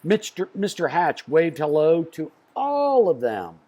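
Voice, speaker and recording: Animated man reading a children's story. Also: a little high, takes it slowly. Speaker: storybook reader. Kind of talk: expressive children's story read-aloud.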